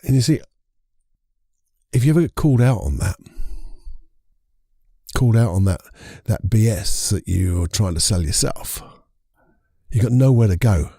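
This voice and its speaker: A man with a croaky voice hosting a casual solo podcast, chatty and rambling, correcting himself as he goes. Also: lowish voice, lively intonation, a little slow, long pauses, warm tone, dry recording, clean studio sound. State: croaky voice from a lingering cold. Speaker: podcast host and hypnotherapist.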